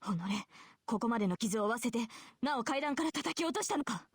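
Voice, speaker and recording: An earnest knight spirit girl, super fast and urgent, bit husky, visual novel style. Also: fairly high pitch, lively intonation, dry recording, clean studio sound, exaggerated voice. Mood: alarmed, indignant. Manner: urgent, formal, stern. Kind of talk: Japanese visual novel voice acting.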